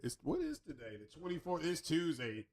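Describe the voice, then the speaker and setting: A man hosting a casual tech live stream, raspy from a cold and rambling through chatty asides. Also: fairly high pitch, huge pitch swings, normal speed. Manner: rambling, chatty, loose restarts. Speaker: tech channel host.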